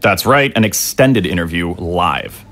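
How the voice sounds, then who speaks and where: An actor playing an agreeable, practical political aide in conversational audio drama. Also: male voice, low-pitched, lively intonation, a little slow, a bit bright. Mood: calm, obliging.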